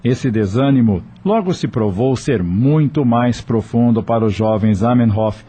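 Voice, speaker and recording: A man narrating a radio drama from a script, in an expressive, dramatic storytelling manner. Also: medium pitch, a little slow, breathy edge.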